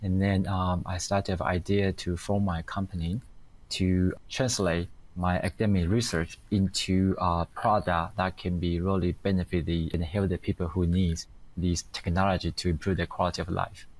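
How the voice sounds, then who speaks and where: A middle-aged man from Taiwan with a Taiwanese accent, giving halting, reflective interview answers as a guest. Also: deep voice, little pitch movement. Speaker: guest, company founder and engineer.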